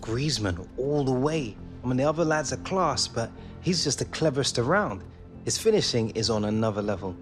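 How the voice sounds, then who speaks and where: An actor playing a young, easygoing, ambitious star footballer in a video game cutscene, talking casually. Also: male voice, mid-range voice, lively intonation, moderate pace, close to an everyday voice. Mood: relaxed, upbeat. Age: young adult. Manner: casual, laid-back, matey. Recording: music behind the voice.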